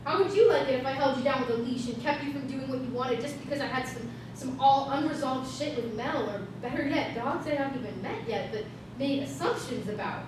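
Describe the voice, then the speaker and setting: A woman's voice: high-pitched, a little fast, hardly any pauses, close to an everyday voice. A woman playing a sassy, sarcastic talking dog in a staged play reading. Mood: indignant. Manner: sarcastic, slangy. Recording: echoey, noisy stage recorded from far off.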